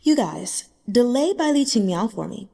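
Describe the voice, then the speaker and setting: A woman's voice: on the high side, sing-song, normal speed, gravelly, dark tone, husky, big-room sound, pitched above the performer's own voice, heavily acted. A woman voicing a scheming young woman cultivator who talks in a flustered, pleading way.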